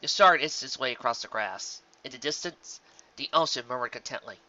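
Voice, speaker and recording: Very bright, forceful male story narrator. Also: mid-range voice, animated, brisk, highs cut off. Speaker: narrator reading fan fiction. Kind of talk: scripted dramatic story narration.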